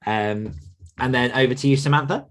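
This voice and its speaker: A man's voice: mid-range voice, normal speed. A man hosting a casual group podcast, inviting guests to introduce themselves. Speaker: podcast host.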